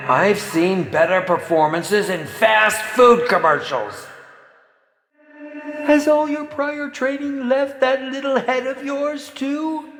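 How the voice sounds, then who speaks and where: A man's voice: extremely high pitch, takes it slowly, a bit bright, faint music behind, full character voice. A man playing a flamboyant, sadistic film director villain in a video game, with theatrical, sneering mock politeness and a bloodthirsty temper. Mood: sneering, condescending. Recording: echoing hall with long reverb.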